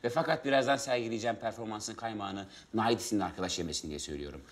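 A man's voice: on the low side, racing, natural voice. An actor in his thirties playing a hapless struggling auditionee in a sitcom, eager, cheeky and rambling with awkward politeness. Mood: polite, dry. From Tokat, Turkey. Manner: hesitant, over-polite, rambling. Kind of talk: naturalistic sitcom acting.

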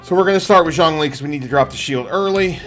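A man's voice: on the high side, fast talker. A middle-aged man giving casual live commentary as he plays a game. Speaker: game streamer. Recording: game music behind the voice. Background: game music.

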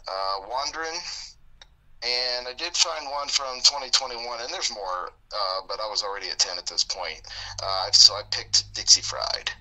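A man co-hosting a casual music podcast, his voice a bit froggy and going hoarse, talking in a relaxed, rambling way with fillers and chuckles. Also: on the low side, normal speed, poor audio. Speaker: podcast co-host. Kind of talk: casual chat.

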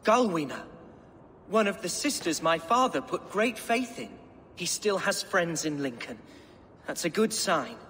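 An actor playing an excitable, boastful young Saxon lord with a flowery, theatrical manner in a video game. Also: male voice, high-pitched, measured pace, slight background noise, heavily acted. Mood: thoughtful. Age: young adult. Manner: theatrical, grandiloquent. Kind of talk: video game cutscene acting.

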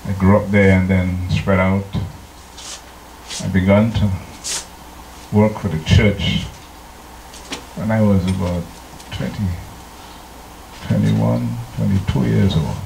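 An older man from Trinidad, a pastor preaching a slow, deliberate sermon into a handheld microphone in a church, now and then singing hymn lines. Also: deep voice, vocal fry, darkish tone, slight background noise. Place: church sanctuary. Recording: echoey church room.

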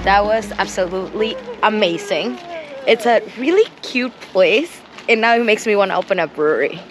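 A woman's voice: mid-range voice, animated, moderate pace. A woman in her thirties narrating a casual travel vlog in a cheerful, conversational way, filming herself at arm's length outdoors. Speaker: vlog co-host. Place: outdoors at a brewery. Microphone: handheld camera at arm's length. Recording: loud music in the background.

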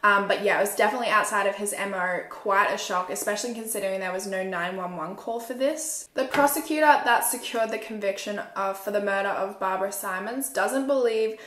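A woman's voice: medium pitch, brisk, hardly any pauses, a bit bright. A woman in her twenties with an Australian accent, telling a true crime story conversationally as a video host. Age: 20s. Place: small room at home, indoors. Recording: roomy indoor echo.

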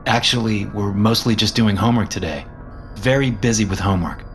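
A man's voice: fairly low pitch, brisk, natural voice. A man voicing a young male college tenant, polite and uneasy, in a told story with music behind. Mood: uneasy, reasoning. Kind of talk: storytelling dialogue.

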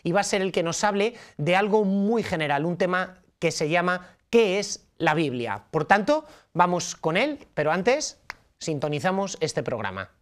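A man's voice: sits high, very animated, normal speed. Man, thirties, Castilian Spanish accent, enthusiastic TV host, conversational, studio microphone. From La Mancha, Spain. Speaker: host, priest and Bible teacher. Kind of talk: presenting a religious TV programme. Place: dark TV studio at a table. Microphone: studio microphone on a stand.

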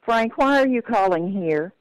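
A woman's voice: medium pitch, animated, unhurried, background noise. An older woman talking on the telephone in a plain-spoken, admonishing manner. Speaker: prank call recipient. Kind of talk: phone conversation.

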